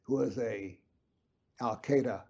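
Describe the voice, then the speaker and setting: A man being interviewed who explains his views earnestly and emphatically, sometimes dropping to a low whisper to stress a point. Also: takes it slowly, gravelly, darkish tone, dull audio. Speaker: interview guest, author.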